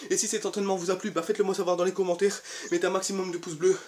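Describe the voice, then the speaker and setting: A man's voice: high-pitched, rapid-fire. Breathless young man coaching from across a room. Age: young adult. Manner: energetic, encouraging, exercising while talking. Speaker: fitness youtuber. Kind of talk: home workout coaching, counting reps. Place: bedroom at home. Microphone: camera mic from across the room. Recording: echoey room, voice from far off.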